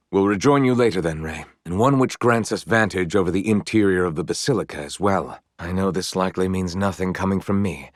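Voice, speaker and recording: An actor voicing a courteous, earnest and gently proud young knight in a video game, with formal, eloquent phrasing. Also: male voice, deep voice, no echo, clean studio sound. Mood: grave, measured. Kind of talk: video game character voice lines.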